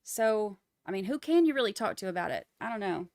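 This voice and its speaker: A woman's voice: mid-range voice, animated, a little fast, darkish tone, no room sound, studio quality. A woman with a Boston accent hosting a live trial commentary stream, chatting wryly and reading out viewer comments. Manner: chatty, wry, rambling asides.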